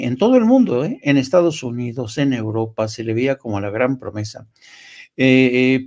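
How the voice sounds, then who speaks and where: A Mexican man with a medium-pitched voice speaks off the cuff as a journalist commenting on politics. He is emphatic, restarting and repeating words as he builds his point.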